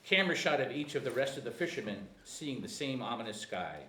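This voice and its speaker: Male screenplay narrator, lively, slightly high voice, informal table read with room echo. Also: fast talker. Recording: echoey room, faint noise.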